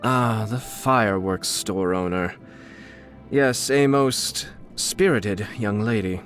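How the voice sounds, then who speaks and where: A man voicing a refined, suave young nobleman and clan head in a video game, coolly shrewd, with polished, measured, formal diction. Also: lowish voice, a bit bright. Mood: courteous, wry. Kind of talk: scripted video game character dialogue. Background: background music.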